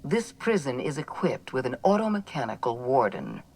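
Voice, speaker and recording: An actress playing a cold, menacing female corporate official in a video game, speaking in a measured, formal, condescending way. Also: rumbling low, sing-song, a little mellow, a little hiss or hum, full character voice. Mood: contemptuous menace. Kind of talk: dramatic video game dialogue.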